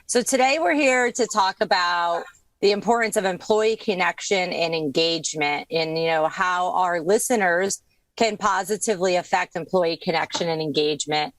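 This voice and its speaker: A woman's voice: on the low side, normal speed, dry recording. A woman hosting a podcast who is losing her voice, talking in a chatty, enthusiastic way with plenty of fillers. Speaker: podcast host. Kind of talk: casual podcast chat.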